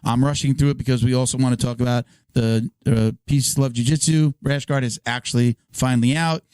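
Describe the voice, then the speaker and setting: A hoarse man hosting a podcast, reading sponsor ads with stumbles and filler words. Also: medium pitch, fairly even intonation, brisk, darkish tone, dry recording. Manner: stumbling, filler-heavy ad reads. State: hoarse, losing his voice. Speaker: podcast host.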